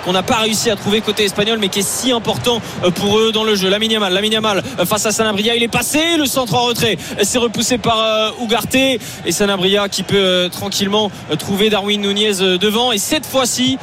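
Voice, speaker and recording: A man's voice: high voice, brisk. A man giving live radio commentary on a football match, full of fillers and speeding up on attacks. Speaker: play-by-play commentator. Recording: noisy stadium radio broadcast.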